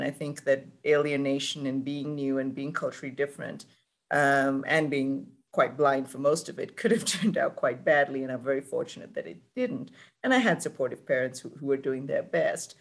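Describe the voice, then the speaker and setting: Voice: mid-range voice, gravelly. A surgeon from Sri Lanka answering a panel question, telling a personal story in a reflective, candid way with touches of humor.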